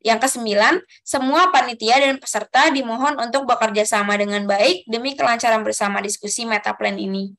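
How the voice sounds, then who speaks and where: A young woman leading an online group discussion as facilitator, politely reading out rules one by one with soft fillers over a video call. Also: a little high, a little fast. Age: young adult.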